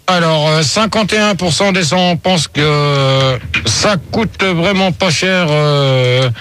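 A man's voice: a little high, slow pace, a bit bright, slight background noise. A man hosting a comic radio show, reading out absurd poll results in a mock-serious, crude manner. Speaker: radio host.

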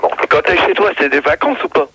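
Teasing man with lively pitch. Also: brisk, a little hiss or hum, low-quality recording. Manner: teasing, heckles the host. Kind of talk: joking banter on a radio show.

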